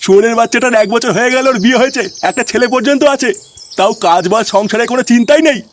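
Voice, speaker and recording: A man's voice: very high-pitched, rapid-fire. A man voicing a hot-tempered, foul-mouthed ageing father in a comedy cartoon. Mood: angry scolding. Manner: scolding, profane. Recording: clearly noisy track.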